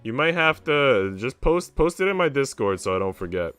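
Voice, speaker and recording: A man's voice: medium pitch, big pitch swings, moderate pace, fairly bright tone, clean tone, soft background music. A man chatting informally as a streamer, in a casual, conversational way. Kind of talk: casual livestream chat.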